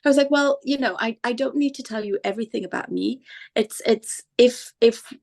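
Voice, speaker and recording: Gravelly-voiced woman podcast guest. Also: fairly high pitch, a little fast. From Tanzania. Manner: thoughtful, relaxed, with fillers. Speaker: guest, entertainment lawyer. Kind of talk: conversational interview.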